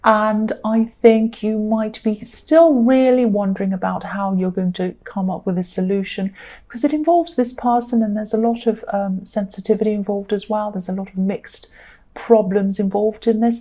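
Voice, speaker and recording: A woman talks through a tarot spread in a casual, reassuring way. Her voice is mellow and soft-edged, slightly croaky, and she fills pauses with ums. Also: mid-range voice, fairly even intonation. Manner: chatty, reassuring, many ums. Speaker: tarot reader. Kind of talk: casual spoken tarot reading.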